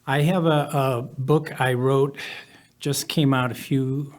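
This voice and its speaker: Male guest, middle-aged or older, average pitch, measured and halting, recounting personal history. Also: steady tone. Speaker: podcast guest, author. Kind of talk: personal storytelling in an interview.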